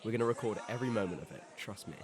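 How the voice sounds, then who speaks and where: A laid-back teenage skater boy, played by a young man in an audio drama. His voice sits a little low. He talks in casual slang, like a mate chatting at school. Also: lively intonation, rapid-fire, crowd noise, natural voice. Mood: upbeat, casual. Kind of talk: scripted audio drama dialogue.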